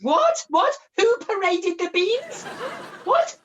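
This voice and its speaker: A woman's voice: very high voice, very animated, slow, dull audio, a little hiss or hum, exaggerated voice. A woman playing a cantankerous, hard-of-hearing old invalid mother in a pantomime, scolding and melodramatic. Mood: outraged. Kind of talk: pantomime acting with sung passages. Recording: amateur video-call recording.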